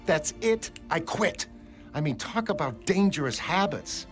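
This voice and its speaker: Man voicing a frank, high-pitched American woman. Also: lively intonation, unhurried, fairly bright tone, faint music behind. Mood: wry, exasperated. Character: frank, curious, wry woman, former costumed heroine. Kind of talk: dramatic motion comic character acting.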